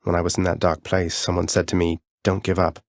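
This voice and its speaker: Young underworld prince, video game hero, low voice, polite, wry, determined, dry studio sound. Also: male voice, completely flat delivery, fairly quick, no echo. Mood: sincere, encouraging. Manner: courteous, dry wit, often says sir. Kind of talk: scripted video game voice lines.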